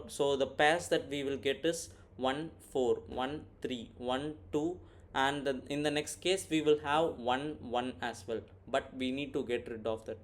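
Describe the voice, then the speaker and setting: A man walking through an informal screen-recorded coding tutorial, thinking aloud and often checking in with "right?". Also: medium pitch. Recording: clear background noise, keyboard typing. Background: computer keyboard typing, background noise.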